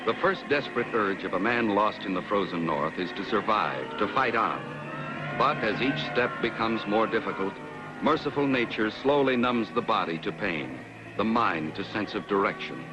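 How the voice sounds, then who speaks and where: A man giving grave, measured dramatic narration for a 1950s television adventure. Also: fairly low pitch, animated, close to an everyday voice, rough recording. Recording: vintage TV soundtrack with prominent music.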